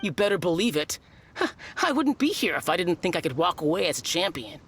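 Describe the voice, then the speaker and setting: An actress playing a confident, self-satisfied tournament challenger in a video game, with a lofty, self-assured manner. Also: female voice, on the low side, sing-song, brisk, slight background noise.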